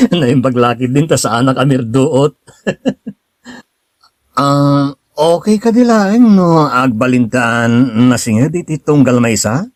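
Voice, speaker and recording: A man's voice: medium pitch, slow, long pauses, dry recording, clean studio sound, natural voice. A man acting an earnest, talkative and insistent nephew in an Ilocano drama. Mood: friendly, chatty.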